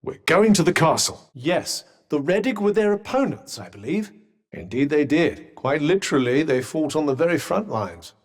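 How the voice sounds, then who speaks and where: An actor voicing a sardonic, erudite magical talking cuff in a video game, with a British accent, wry and articulate in a pompous way, with a husky voice. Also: male voice, a little high, sing-song, normal speed. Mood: wry, superior. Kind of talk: video game character dialogue.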